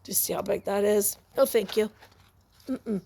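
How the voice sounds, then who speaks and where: A woman reading a picture book aloud to young children, expressive and exclamatory, often breaking off to ask her listeners questions and add asides. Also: mid-range voice, normal speed, vocal fry, slightly rough.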